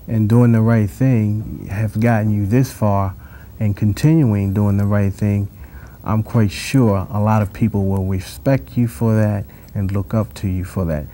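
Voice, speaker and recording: A man hosting a TV interview show in a studio, asking questions in a measured, drawn-out way. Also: fairly low pitch, steady tone, warm tone. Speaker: TV talk show host. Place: TV studio set.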